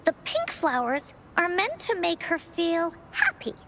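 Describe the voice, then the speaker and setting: A very high-pitched, lively little girl from a video game. She tells adventure tales with encouragement and theatrical pauses, her intonation rising and falling. There is a faint rumbling noise behind her. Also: exaggerated voice. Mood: gentle, solemn. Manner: theatrical, deliberate storytelling with dramatic pauses. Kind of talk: video game voice acting, dramatic storytelling.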